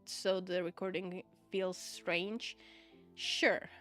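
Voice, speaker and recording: A woman's voice: fairly low pitch, fairly even intonation, extremely slow, fairly bright tone, soft background music. A woman from the Czech Republic with a Czech accent, a Czech native speaker chatting casually with her stream audience, laughing often and repeating "okay?" to drive her points home. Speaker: VTuber streamer. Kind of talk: casual livestream chat, answering viewer chat.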